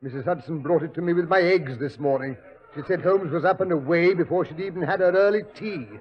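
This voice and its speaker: Man playing a stammering sidekick doctor, blustery, slightly high voice, muffled old radio sound. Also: a little fast, close to an everyday voice. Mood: puzzled. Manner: stammering, exclamatory, easily flustered. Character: bumbling, blustery English doctor sidekick, middle-aged. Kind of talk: 1940s radio acting. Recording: dull lo-fi 1940s radio recording.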